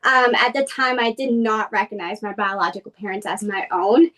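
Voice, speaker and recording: A woman's voice: medium pitch, fairly even intonation, moderate pace, fairly bright tone, recorded from a distance. A woman from Colombia who was raised in Boston, guesting on a podcast and telling her personal story earnestly, with many fillers.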